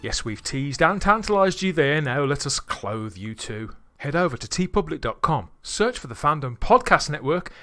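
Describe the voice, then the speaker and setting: A man hosting a podcast discussion, animated and steering questions to his co-hosts. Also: a little high. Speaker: podcast host.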